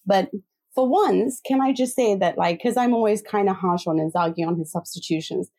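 A woman's voice: medium pitch, moderate pace, mellow. A woman co-hosting a football chat, talking casually and often adding "you know". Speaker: football podcast co-host.

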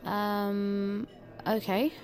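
A woman's voice: mid-range voice, monotone, drawn-out words, a little mellow, faint music behind. Earnest, flustered young British woman. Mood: awkward, polite. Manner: hesitant, earnest, quirky. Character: polite, flustered, impulsive, naive young woman. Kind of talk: audio drama acting.

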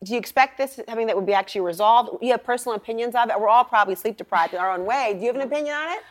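A woman's voice: a little high, racing. A woman with an American accent hosting a television news panel in a conversational style, posing questions and musing aloud. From Minnesota, United States.

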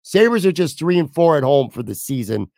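Hoarse man. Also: a little high, very animated, normal speed, a little mellow, dry recording, clean studio sound. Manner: candid, blunt, casual with mild swearing. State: losing his voice, hoarse. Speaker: podcast host. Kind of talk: solo sports talk podcast.

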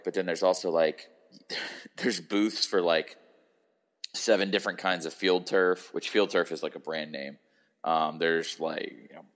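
A man hosting a sports podcast, hoarse and hungover, rambling casually with a sardonic streak. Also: low-pitched, a bit bright. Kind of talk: casual football podcast chat.

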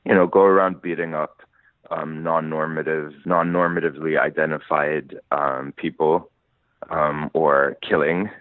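A man from Lebanon speaks in a very deep voice, weighing his words and laughing lightly through his stories. His voice comes over a dry, narrow phone-like line. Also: level tone, unhurried, no room sound. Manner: thoughtful, laughs while telling stories. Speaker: musician guest. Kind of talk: conversational interview.

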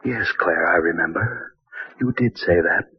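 Deep, husky brooding radio chemist. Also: male voice, no echo, heavily acted. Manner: brooding, repetitive, halting monologue. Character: brooding, guilt-haunted chemist narrating his doom. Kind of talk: old-time radio horror acting. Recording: muffled old-time radio recording.

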